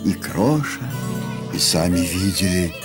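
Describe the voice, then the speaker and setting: A man reading scripted storybook narration for a cartoon, expressive and wry. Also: low-pitched, a little slow. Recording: studio voice over music.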